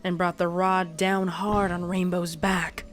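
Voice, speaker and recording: Low-voiced woman narrating a dramatic story. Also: level tone, a little slow. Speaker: fan fiction narrator. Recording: narration over soft music.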